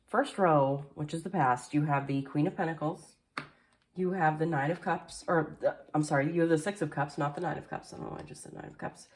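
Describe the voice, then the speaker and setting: A woman giving a casual tarot card reading, chatty and rambling with lots of fillers, a little nasal and hoarse from allergies. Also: low voice. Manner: chatty, rambling with many fillers, occasional coughs. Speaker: tarot reader. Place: indoors at a table at home. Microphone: camera facing the table, speaker mostly off screen.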